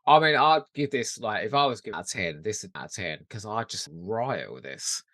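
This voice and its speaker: A man's voice: fairly low pitch, animated, brisk, sharp tone, dry recording, studio quality. A British man co-hosting a podcast, chatting casually about pop culture with giggly, gushing enthusiasm. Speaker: podcast co-host.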